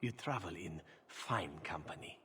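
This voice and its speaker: Lilting, roguish assassin. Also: male voice, deep voice, lively intonation, a little slow, a bit bright, husky, in a large hall, heavily acted. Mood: pleased, courtly. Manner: teasing, theatrical, courtly. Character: roguish, flirtatious male assassin, suave and cocky. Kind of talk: video game dialogue acting.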